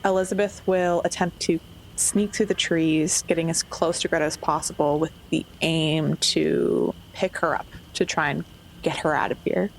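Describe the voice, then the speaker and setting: Low-voiced woman narrating her game character. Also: fairly even intonation, normal speed, gravelly, slight background noise, rough recording. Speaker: player in a tabletop game. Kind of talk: narrating own character's actions.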